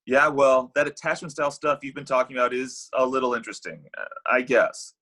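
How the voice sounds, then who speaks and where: A man's voice: mid-range voice, a little fast, sharp tone. A man in a sitcom table read playing a lovelorn, cocky, needy matchmaker in a sardonic, conversational way.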